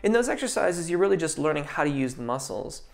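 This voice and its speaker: Gravelly-voiced man coaching singers live. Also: fairly high pitch, fast, music in the background. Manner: relaxed, chatty, many ums and restarts. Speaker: vocal coach. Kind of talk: live Q&A answering chat questions.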